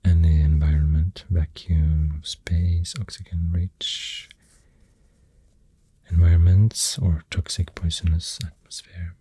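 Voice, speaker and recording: ASMR host, Swedish accent, very breathy and hushed, slow unhurried rambling, close dry mic. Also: a bass voice, dry recording. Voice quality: soft, breathy, whispery. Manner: hushed, hesitant, gentle rambling delivery drifting into whispers. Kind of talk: soft-spoken ASMR, rambling facts while drawing. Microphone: close ASMR microphone. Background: pencil writing and clicking sounds.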